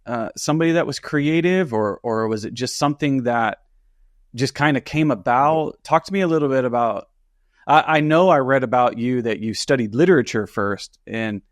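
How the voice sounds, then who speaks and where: A man's voice: medium pitch, no room sound, clean studio sound. A man hosting a podcast interview, chatting casually in an effusive, rambling, informal way.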